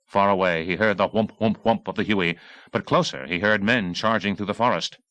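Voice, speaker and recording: A man reading an action novel as an audiobook narrator, with intense, deliberate phrasing in short bursts. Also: on the low side, fairly bright tone, dry recording.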